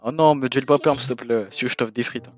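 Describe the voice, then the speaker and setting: Fast-talking young man trolling in a game. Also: medium pitch, steady tone. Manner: slangy, repetitive, provocative. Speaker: gaming streamer, player. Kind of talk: trolling banter in an online roleplay game.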